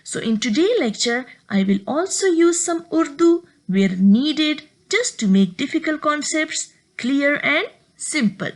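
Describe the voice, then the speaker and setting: Lady doctor teaching a lecture, high voice, goes up and down a lot. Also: measured pace, few pauses. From Pakistan. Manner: steady explaining, step by step. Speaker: medical lecturer.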